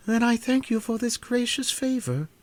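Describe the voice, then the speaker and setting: A stately, proud queen, full of worry for her children, as a man puts her on for a storybook. The voice rises high with wide, lively swings. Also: normal speed. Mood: anxious, proud. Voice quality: formal, stately. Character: proud anxious mother queen.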